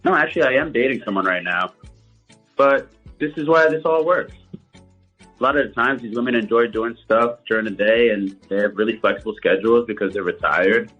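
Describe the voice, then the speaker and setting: A man with a fairly low, husky voice on a muffled phone line. He talks casually and matter-of-factly, completely unbothered, with faint music underneath. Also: a little fast. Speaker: phone call participant.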